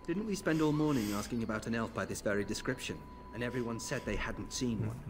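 A man's voice: medium pitch, fast talker, noisy background, natural voice. An actor playing a suspicious armored soldier henchman in a fantasy video game. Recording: game music behind the voice.

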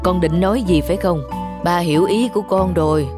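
A man playing a stern but kindly middle-aged father in an animated moral tale, speaking in a measured, instructive way over music. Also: high voice, close to an everyday voice. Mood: firm, kindly. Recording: music under the voice.

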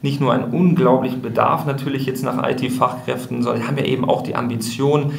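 A man's voice: medium pitch, fairly even intonation, fast talker, vocal fry, a little hiss or hum. A man speaking as the guest in a podcast interview, explaining things thoughtfully and restarting sentences often. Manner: thoughtful, many fillers and restarts. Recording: roomy echo.

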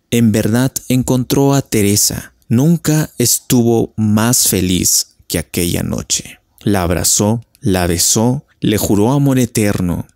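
Low-voiced male storyteller. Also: a little mellow, dry recording, studio quality. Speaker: narrator. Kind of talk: scripted legend narration, storytelling.

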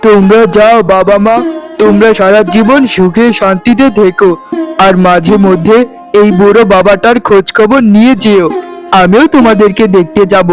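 A man voicing a kindly old fishermen's chief and father in a cartoon, speaking gently and earnestly. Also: high voice, steady tone, moderate pace, faint music behind, close to an everyday voice. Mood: tender, solemn. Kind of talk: cartoon voice acting.

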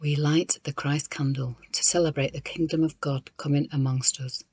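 A man giving a scripted devotional reading as liturgist, in a solemn, measured way with pauses. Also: on the high side, level tone, a little mellow, breathy edge, dry recording. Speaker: reader of a liturgy.

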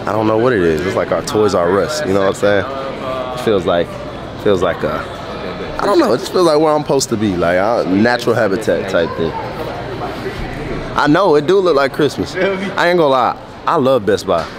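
A man's voice: fairly low pitch, very animated, moderate pace, reverberant, over music. A young man with a stuffy nose, talking casually to a handheld camera in laid-back slang. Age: young adult. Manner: laid-back slangy chatter, hyped greetings. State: congested. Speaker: vlogger. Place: small room indoors, then a big electronics store. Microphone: handheld camera at arm's length.

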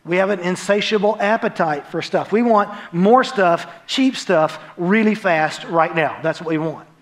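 A man's voice: high-pitched, normal speed. An American man in his forties preaching a sermon in a conversational, emphatic way, often tagging points with short questions. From United States. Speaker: pastor. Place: large dark church auditorium, on stage.

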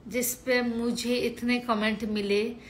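A hoarse woman from Haryana ranting crudely and combatively on a late-night live stream from home, swearing heavily into her phone. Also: on the high side, level tone, slow pace. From Haryana, India.

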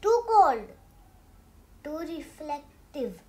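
A six-year-old girl voicing a stubborn, dramatic cartoon pigeon from a picture book, in a halting, exclamatory way. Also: sits high, very animated, dark tone, heavily acted. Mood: loud, fussy. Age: child, six years old. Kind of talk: picture book read aloud.

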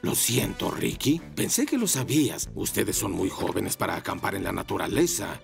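A woman voicing a brisk, matter-of-fact grown-up motorcycle who leads camping trips in a cartoon. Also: very deep voice, big pitch swings, gravelly, soft background music, exaggerated voice. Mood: apologetic, matter-of-fact. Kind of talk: cartoon voice acting.

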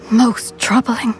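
A woman's voice: mid-range voice, close to an everyday voice, rough recording. A woman acting as a grave, dignified former headmistress in a magical portrait, speaking in a stately, formal way for a video game. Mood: grave, concerned. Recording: music behind the voice.

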